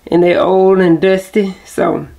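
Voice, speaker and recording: A woman's voice: lowish voice, little pitch movement, a little slow, vocal fry, mellow. A woman, chatting casually to camera in a rambling, self-correcting way. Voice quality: nasal. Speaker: vlogger. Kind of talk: casual vlog chat to camera.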